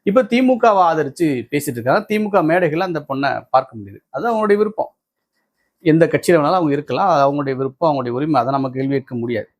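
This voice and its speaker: High-pitched, combative Tamil Nadu male commentator. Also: husky. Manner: combative, indignant, repeats phrases for emphasis. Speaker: political commentator and host. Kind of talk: opinionated political commentary to viewers.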